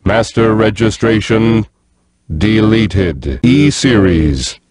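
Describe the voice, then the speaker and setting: A man playing a stern, emotionless combat robot in a video game, with stiff, measured machine-like delivery. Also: on the low side, a crowd in the background. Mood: cold, mechanical. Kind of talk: video game character voice lines.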